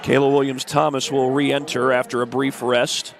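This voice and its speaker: A congested man with the flu giving steady play-by-play of a high school girls' basketball game, adding stats and schedule notes. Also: normal speed, vocal fry. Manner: steady, informative play-by-play with stat and schedule asides. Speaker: play-by-play announcer. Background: faint gym ambience, ball bouncing.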